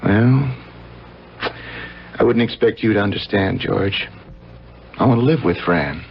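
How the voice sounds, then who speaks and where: Gravelly, earnest young American man. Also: lowish voice, a little slow. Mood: matter-of-fact, firm. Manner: earnest, reproving. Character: earnest, disapproving young psychiatrist, the sober brother. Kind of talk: 1970s radio drama acting. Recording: dull 1970s radio recording.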